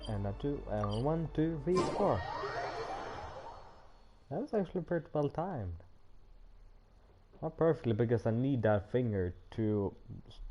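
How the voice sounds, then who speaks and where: A man's voice: lowish voice, highs cut off. A man giving casual livestream commentary while he plays a game. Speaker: game streamer. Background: video game music.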